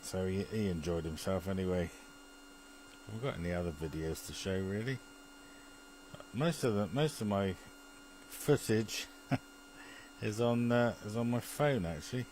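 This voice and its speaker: British male radio ham, lowish voice, informal and meandering, prone to chuckles and mutters, slight hum behind. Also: unhurried, pauses often, a little mellow, low-quality recording. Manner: chuckly, muttering to himself while operating. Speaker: amateur radio TV operator. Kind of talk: rambling casual chat over amateur television link.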